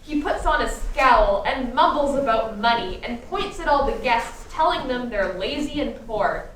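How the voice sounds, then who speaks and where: A woman's voice: on the high side, animated, moderate pace, distant mic. A woman narrating a radio play with a theatrical storytelling delivery. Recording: echoey room from afar, faint noise.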